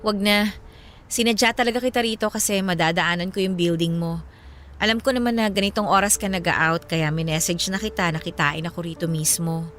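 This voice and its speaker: A woman's voice: fairly low pitch, steady tone, fairly quick, fairly bright tone, a little hiss or hum, close to an everyday voice. Woman acting a guarded, firm Filipino ex-girlfriend. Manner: firm, matter-of-fact. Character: guarded, earnest adult ex-girlfriend, weary but firm. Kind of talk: radio drama dialogue.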